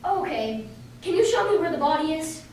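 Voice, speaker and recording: A woman's voice: high voice, expressive, normal speed, a little mellow. A woman playing a polite, methodical male detective in an amateur radio play. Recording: very echoey room, distant mic, faint hiss.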